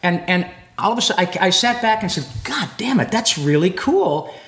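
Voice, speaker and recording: Man, fairly high voice, rambling anecdotes, casual interview talk, lots of ums. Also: brisk. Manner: animated, rambling storyteller with many fillers. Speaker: guest voice actor. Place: convention floor.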